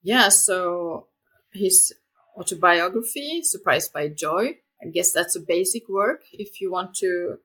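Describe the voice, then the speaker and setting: Low, gravelly woman. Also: fairly bright tone, rough recording. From Finland. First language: Swedish. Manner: hesitant, many filler sounds and restarts. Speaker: conference speaker. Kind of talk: academic conference paper.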